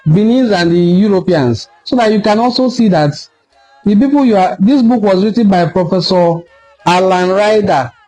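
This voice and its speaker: Man, Nigerian accent, high voice, forceful lecturing, faint music underneath. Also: low-quality recording. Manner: forceful, lecturing, repeats for emphasis. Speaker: online cultural commentator. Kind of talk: impassioned solo commentary video.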